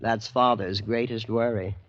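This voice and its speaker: An American actor playing a blithe, boastful young heir in an old radio drama, with a nonchalant, condescending manner. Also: male voice, fairly low pitch, steady tone, a little slow, natural voice. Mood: smug, nonchalant. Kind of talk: 1940s-50s radio acting. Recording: dull, lo-fi vintage radio recording.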